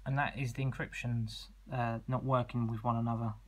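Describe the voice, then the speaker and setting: A man's voice: medium pitch, flat delivery, a little fast. A man giving an informal product demonstration as an online seller, explaining in a conversational, slightly hesitant way.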